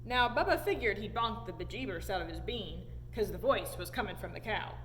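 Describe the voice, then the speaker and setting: A woman reading a storybook aloud in an animated storytelling style. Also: mid-range voice, a little fast. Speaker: story time reader. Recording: echoey hall, audible background noise.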